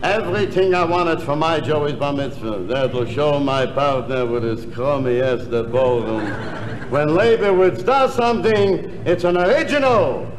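Man as a high-voiced, boastful rich father. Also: a little slow, noisy background, lightly acted. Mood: bossy, pleased. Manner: brusque, bragging. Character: boastful, demanding rich father throwing a lavish bar mitzvah. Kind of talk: 1960s comedy album sketch acting.

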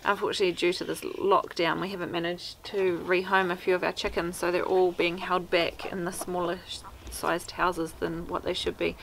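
A woman's voice: fairly low pitch, flat delivery, moderate pace, gravelly, hardly any pauses, fairly bright tone, slight background noise, poor audio. A woman in her thirties with a New Zealand accent, chatting practically to camera outdoors by a wooden fence about homestead care. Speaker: homesteader vlogger. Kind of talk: casual how-to talk to camera. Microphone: camera at arm's length.

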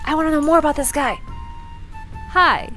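A woman's voice: sits high, normal speed, bright, forceful tone. An actress playing a curious, joking young woman with a casual way of talking, in an audio drama. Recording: music behind the voice.

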